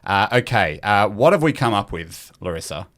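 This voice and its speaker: A man's voice: on the low side, big pitch swings, normal speed, a bit bright. An Australian man hosting a quiz in a casual, bantering style. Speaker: quiz host.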